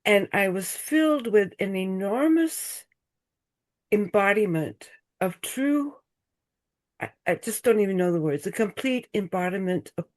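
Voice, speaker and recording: An older woman from the United States, a guest on a video call, speaking slowly and searching for her words. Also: medium pitch, expressive. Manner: slow, thoughtful, searching for words, drops to a whisper. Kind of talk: casual chat on a group video call.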